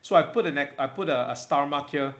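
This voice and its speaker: A man's voice: a little high, a little fast, a bit bright. Man giving an informal tech webinar. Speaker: webinar presenter for a tech company. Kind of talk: informal product presentation from slides.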